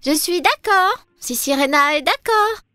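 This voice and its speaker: A really high little girl cartoon voice, curious n sure of herself, music behind. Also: expressive, measured pace, pushed and bright, heavily acted. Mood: friendly, curious. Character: Annie, clever, curious, confident young girl adventurer. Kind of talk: children's cartoon dubbing.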